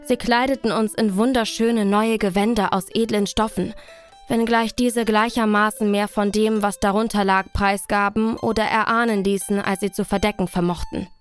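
A woman narrating an audio drama in first person, calm and measured. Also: medium pitch, clear, resonant tone, soft background music.

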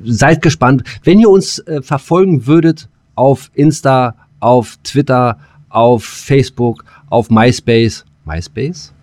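A man's voice: mid-range voice, slow, darkish tone, dry recording, studio quality. A man chatting as a podcast co-host in a loose, rambling, hesitant way with some crude slang. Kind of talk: casual podcast chat.

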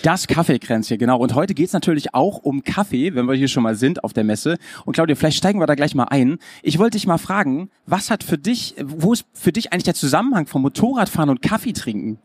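Upbeat german guy hosting a live podcast, talks quick, slightly high voice, dry sound. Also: sing-song, breathy edge, no room sound. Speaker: podcast host. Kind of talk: live podcast chat on stage.

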